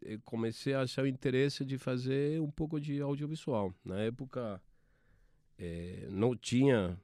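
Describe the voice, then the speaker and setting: A man in his forties from Argentina, speaking with an Argentine Spanish accent, a TV sports producer telling his career story in halting speech with many restarts. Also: medium pitch, dark tone, no echo, clean studio sound. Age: 40s.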